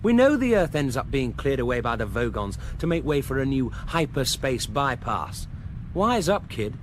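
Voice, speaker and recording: An actor playing a brash, cynical galactic hitchhiker in a sci-fi comedy radio drama, blunt and emphatic. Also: male voice, medium pitch, sing-song, moderate pace, a little hiss or hum, close to an everyday voice.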